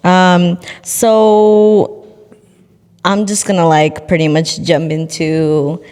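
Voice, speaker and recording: A woman's voice: fairly low pitch, flat delivery, extremely slow, a little mellow, clear, resonant tone, long reverb tail. A young woman hosting a radio interview, upbeat and friendly with casual slang. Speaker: radio host. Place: radio studio.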